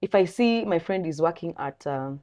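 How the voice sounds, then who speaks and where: A young adult woman with a deep voice, co-hosting a podcast in lively, animated chat. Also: moderate pace. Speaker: podcast co-host.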